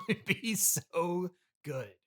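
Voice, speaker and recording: A man's voice: sits high, animated, slow, slightly rough, heavily acted. A man playing the spoiled, entitled streamer son of a trillionaire in an improvised comedy podcast, whiny, blunt and demanding. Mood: whiny, insistent.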